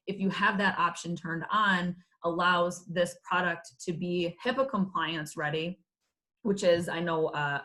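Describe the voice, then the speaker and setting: A woman's voice: on the low side, a bit bright. A woman presenting in a webinar, explaining features in a business-like way.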